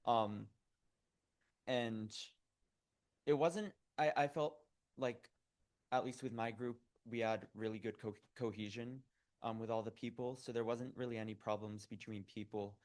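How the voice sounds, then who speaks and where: A man's voice: medium pitch, fairly even intonation, a little slow, darkish tone. A seventeen-year-old young man answering questions informally at a public meeting, speaking in a hesitant, youthful, casual way. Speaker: student program participant answering questions.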